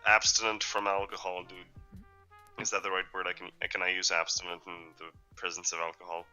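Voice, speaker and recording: A teenage boy from Slovenia with a Slovene accent and a deep voice, rambling through a casual, jokey podcast chat. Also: flat delivery, brisk, pushed and bright, clear, resonant tone, soft background music, poor audio. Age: teens. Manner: rambling, jokey, says "like" and "dude" a lot. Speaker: podcast co-host.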